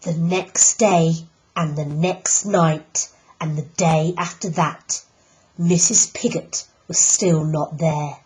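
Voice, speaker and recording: A woman teacher reading a picture book aloud, slow and deliberate with emphatic pauses. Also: low voice, fairly even intonation, darkish tone, low-quality recording. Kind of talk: storybook read-aloud.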